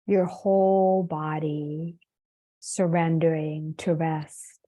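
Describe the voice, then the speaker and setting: A woman leading a guided meditation as a yoga teacher, soothing and unhurried, leaving long pauses between phrases. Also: low voice, steady tone, dark tone.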